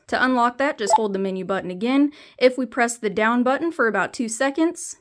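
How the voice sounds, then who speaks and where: A woman's voice: on the high side, a little hiss or hum. A woman reviewing a product, explaining its features plainly, step by step. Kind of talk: explanatory product demo narration.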